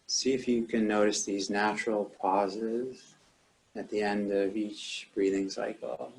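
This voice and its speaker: Male meditation teacher, lower-pitched voice, calm and measured, leaving long pauses between instructions. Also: vocal fry, low-quality recording.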